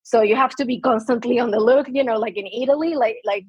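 A woman from Argentina speaks English with an Argentine Spanish accent, chatting in an animated way and often restarting her sentences. Her voice sits a little high and husky. The recording is dry and lo-fi. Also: fast, no room sound. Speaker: podcast guest. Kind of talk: casual interview chat. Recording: dull, lo-fi sound.

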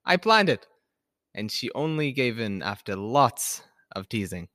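A man's voice: medium pitch, sing-song, a little slow, pushed and bright, no echo, studio quality. Man playing a charming playful young man. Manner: engaging, mock-formal speechmaking.

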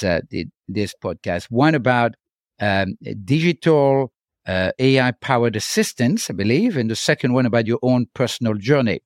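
French-accented man hosting, halting. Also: mid-range voice, no echo, clean studio sound. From France. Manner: halting, many fillers and restarts. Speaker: podcast host. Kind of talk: casual interview chat.

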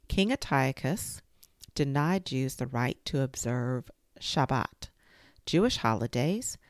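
A woman hosting a storytime show, reading a children's history book aloud in a gentle, careful storytelling manner. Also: extremely low pitch, creaky, no room sound, studio quality. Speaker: storytime reader.

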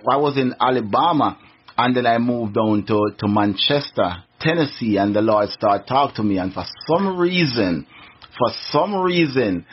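A man's voice: medium pitch, husky. A middle-aged man from Jamaica with a Jamaican accent, a radio guest telling his story earnestly, with many restarts. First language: Jamaican Patois. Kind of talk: casual interview, testimony. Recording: muffled radio recording.

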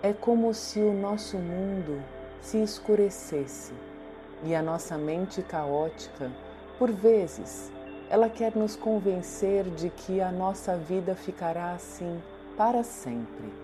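Very slow, low-voiced Brazilian woman guiding meditation. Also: expressive. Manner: soothing, deliberate, with long pauses. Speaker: guided meditation host. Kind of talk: guided meditation narration. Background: meditation music.

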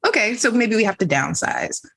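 A woman's voice: medium pitch, very animated, brisk, vocal fry, fairly bright tone, husky. A woman in a reflective, casual group discussion, candidly thinking aloud as she talks. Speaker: team member in a group discussion.

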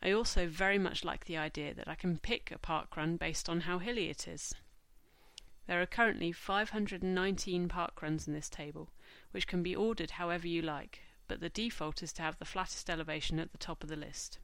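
A woman hosting a podcast, stuffy from a cold, speaking in a measured, informative way. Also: fairly low pitch, a bit bright, no echo. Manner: measured, informative, reads lists slowly. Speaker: podcast host. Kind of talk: scripted podcast monologue.

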